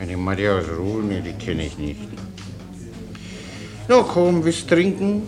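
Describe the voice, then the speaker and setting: An actor playing a cheerful, long-winded common soldier in a radio play, obsequious and blandly innocent. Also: male voice, low voice, huge pitch swings, slow, music in the background, full character voice. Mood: chummy, tipsy. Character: rambling, cheerful Czech common soldier, slyly naive.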